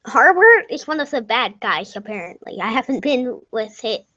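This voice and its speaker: A woman's voice: on the high side, sing-song, normal speed, background noise. A young woman giving casual, rambling commentary over a computer game, correcting herself as she goes and sometimes dropping to a whisper. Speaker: gameplay narrator. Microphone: desk microphone. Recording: dull lo-fi home mic.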